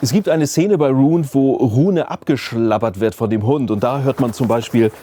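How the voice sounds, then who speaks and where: A man's voice: mid-range voice, normal speed, warm tone, slight background noise. A man talking casually and reflectively in an interview as a sound designer, sometimes searching for words. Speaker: audio drama sound designer and mixer. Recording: music playing behind the voice. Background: background music.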